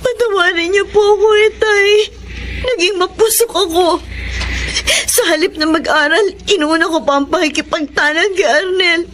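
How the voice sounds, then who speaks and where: A woman's voice: very high voice, normal speed, a bit bright, slight background noise. A woman voicing a wronged young wife and mother, emotional and often tearful, in an audio drama.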